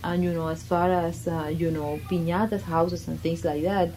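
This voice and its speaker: A woman's voice: fairly low pitch, steady tone, normal speed, mellow, a little hiss or hum, rough recording. A woman from Cuba, reminiscing in a casual interview and leaning on "you know" fillers.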